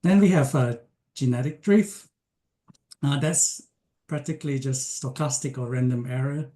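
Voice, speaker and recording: A man from Malaysia giving an online science lecture, speaking with frequent ums, pauses and restarts. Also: mid-range voice, dark tone. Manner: hesitant, many ums and restarts.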